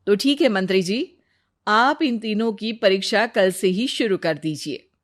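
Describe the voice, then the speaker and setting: A gracious, firm queen of a moral tale, acted by a woman. She speaks slowly at a medium pitch, with measured authority. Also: natural voice. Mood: firm, approving. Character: honest, dutiful queen, gracious but firm with youngsters.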